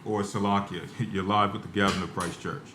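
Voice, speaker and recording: A man hosting a call-in church talk show, speaking in a measured, agreeable way with some hesitant fillers. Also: lowish voice, fairly even intonation, background noise. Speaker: host.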